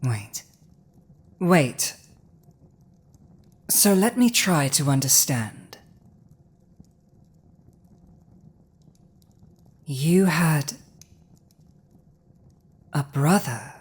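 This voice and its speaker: Slow, low-voiced dragon woman, acted out by a woman. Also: expressive, breathy edge, heavily acted. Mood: stunned, piecing together. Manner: deliberate, dramatic, weighty pauses. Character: villainous commanding female dragon, intense yet softening to compassion. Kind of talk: dramatic ASMR audio roleplay.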